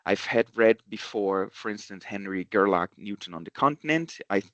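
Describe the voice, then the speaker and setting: A man gives an academic seminar talk in English, his voice low and heard dry and close. He pauses often, filling gaps with "uh" and starting phrases over as he works through his points. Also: lively intonation, fairly bright tone, no room sound. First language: Portuguese. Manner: hesitant, many fillers and restarts. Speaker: research seminar speaker.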